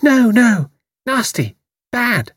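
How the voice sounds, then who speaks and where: Storybook toddler girl, played by a male reader: very high, babyish, demanding and gleeful, broken little phrases, dry recording. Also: very animated, slow, breathy edge, no echo, heavily acted. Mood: insistent. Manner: baby talk in short broken phrases. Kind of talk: audiobook character voice.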